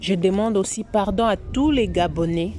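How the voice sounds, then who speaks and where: A woman's voice: mid-range voice, moderate pace, warm tone, soft background music. A woman from Congo, hosting a commentary vlog in a preachy, moralizing way that repeats its points. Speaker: YouTube channel host.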